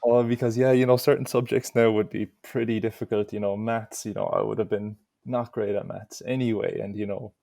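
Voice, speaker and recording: A man's voice: on the low side, level tone, brisk, gravelly, hardly any pauses, dark tone, no echo. A young Irish man answering interview questions in an easygoing way, with lots of "you know". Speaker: interview guest.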